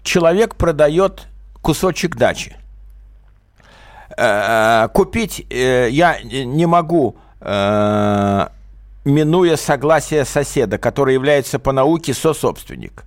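A man's voice: medium pitch, expressive. A man giving legal advice on live radio as a resident expert, conversational, blunt and emphatic. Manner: blunt, brisk, emphatic short replies. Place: radio studio. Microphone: studio microphone.

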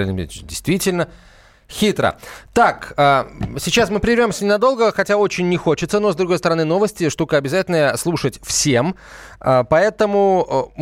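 A man hosts a live radio call-in. He chats wryly, hesitating and tossing in asides as he goes. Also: a little high. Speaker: radio host of a legal advice call-in show. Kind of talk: live conversational radio hosting. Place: radio studio. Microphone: studio microphone.

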